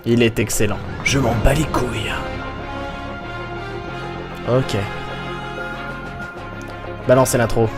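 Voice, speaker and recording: A man's voice: mid-range voice, steady tone, takes it slowly, pauses often, close to an everyday voice. A man playing a stern commander who gives grave orders. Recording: clear music behind the voice.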